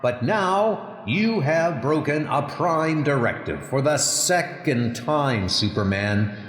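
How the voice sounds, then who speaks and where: A man's voice: on the high side, animated, slow pace, fairly bright tone, roomy sound, in a large hall, pitched above the performer's own voice. A man voicing a stern, officious futuristic patrol enforcer in a comic book dub, formal and commanding. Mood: stern, matter-of-fact. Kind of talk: comic book audio drama.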